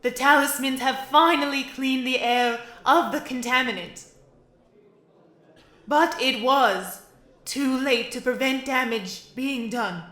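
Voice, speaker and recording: A woman playing a solemn female pony leader in an audio drama, making a halting, grave address. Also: high voice, sharp tone, heavily acted. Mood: grave, regretful. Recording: echoey hall with crowd.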